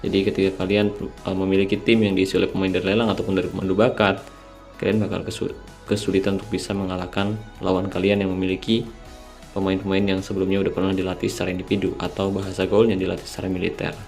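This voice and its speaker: Young man, low flat voice, slightly nasal, drowsy, laid-back game tutorial talk. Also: fast, soft background music. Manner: relaxed, keeps calling viewers "guys". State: sleepy, late at night. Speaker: mobile game channel host. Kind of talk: casual gameplay tutorial voice-over.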